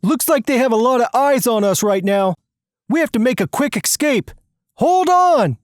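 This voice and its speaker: A narrator voicing a brusque, bossy accomplice in an animated story, commanding and dismissive. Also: mid-range voice, normal speed, dry recording, clean studio sound, pitched above the performer's own voice, lightly acted.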